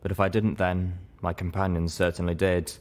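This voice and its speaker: A man's voice: sits low, flat delivery, moderate pace. A man narrating a first-person story in a dramatic audiobook style.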